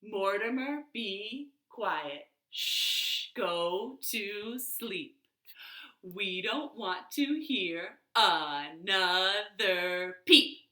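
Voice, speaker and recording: A woman voicing a fed-up older brother from a children's story, firm and hushing slowly. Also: medium pitch, animated, full character voice. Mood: stern, hushing. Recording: roomy, echoey home recording.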